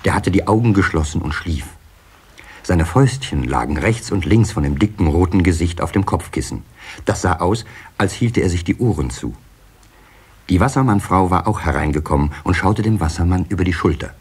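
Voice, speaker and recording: A man narrating a children's fairy tale as a measured, expressive storyteller in an audio play. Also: low voice, breathy edge. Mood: storytelling.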